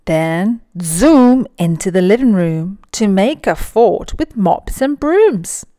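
A woman reads a picture book aloud for young children. Her delivery is extremely unhurried and deliberate, with lively, wide-ranging intonation and dramatic emphasis. The sound is dry and close. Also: mid-range voice, no echo.

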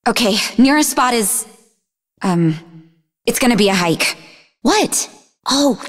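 An actress playing a sarcastic, sharp-tongued young woman scientist in a video game, with a mocking, snappy manner. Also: on the high side, exaggerated voice. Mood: wry, uneasy. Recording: long reverberant hall echo.

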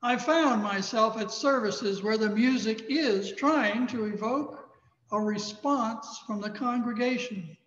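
A man in his sixties with an American accent, a pastor preaching a sermon in a measured, emphatic way. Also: high voice, a bit bright. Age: 60s. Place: large church sanctuary, indoors. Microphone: thin headset microphone. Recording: echoey church hall, slightly dull sound.

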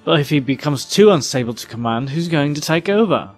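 Sceptical male spacefarer actor, questioning, slightly high voice, sci-fi audio drama. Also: lively intonation, a little fast, over music, close to an everyday voice.

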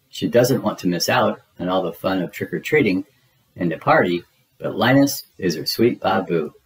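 A man narrating a children's storybook in an expressive, deliberate storytelling manner. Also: sits low, background noise. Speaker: read-aloud narrator. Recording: music behind the voice. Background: music.

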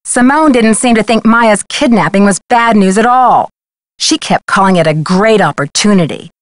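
A woman's voice: medium pitch, moderate pace, no room sound, studio quality, lightly acted. A woman playing an earnest, inquisitive young amateur detective in a video game, speaking in an articulate way. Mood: earnest, curious. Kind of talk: video game dialogue acting.

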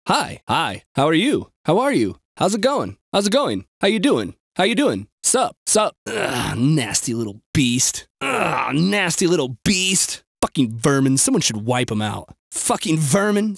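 A man's voice: on the high side, a little slow, gravelly, hardly any pauses, husky, dry recording, studio quality, exaggerated voice. An actor playing a snarky, crude, jumpy young male bystander in a video game, with a sarcastic, exaggerated delivery. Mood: casual, then gloating, disgusted. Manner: sarcastic, exaggerated, swinging from flat to frantic.

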